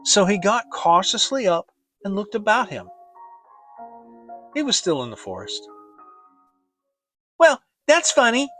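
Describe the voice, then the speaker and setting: A narrator giving an expressive dramatic reading of a children's story. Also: mid-range voice, normal speed, pauses often, faint music behind. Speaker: audiobook narrator.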